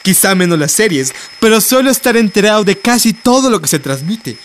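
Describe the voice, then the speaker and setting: Actress as a musing, articulate television devotee. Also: female voice, medium pitch, animated, a little fast, a little hiss or hum, close to an everyday voice. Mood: matter-of-fact. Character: reflective television devotee, articulate and self-justifying. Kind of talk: radio drama monologue.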